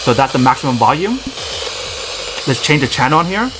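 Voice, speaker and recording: A man's voice: medium pitch, big pitch swings, noisy background. A man narrating a casual product demo, talking to viewers and repeating the same filler phrases a lot. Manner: rapid, repetitive filler phrasing, addresses viewers as "you guys". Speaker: product reviewer.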